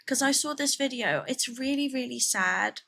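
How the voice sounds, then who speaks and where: A woman's voice: high-pitched, normal speed, vocal fry, pushed and bright. A woman from the Philippines streaming a game from home, chatting with viewers in a rambling, chatty way with laughing asides. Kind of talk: casual gameplay chat with viewers.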